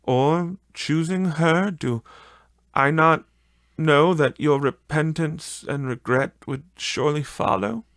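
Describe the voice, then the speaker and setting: A man voicing a gentle, sorrowful young Victorian woman in an audiobook, speaking in a deliberate, drawn-out way. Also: fairly high pitch, no echo, pitched above the performer's own voice. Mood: sorrowful, resolute. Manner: soft, deliberate, drawn-out phrasing. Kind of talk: audiobook character voice.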